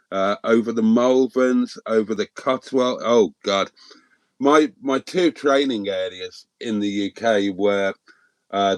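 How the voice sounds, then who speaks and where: Middle-aged man, from Wales, first language Welsh, livestream host, slow unhurried talk, dry close sound, rambling with lots of ums. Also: fairly low pitch, smooth, dry recording. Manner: rambling, hesitant, many ums and restarts. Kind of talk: casual livestream chat answering viewers.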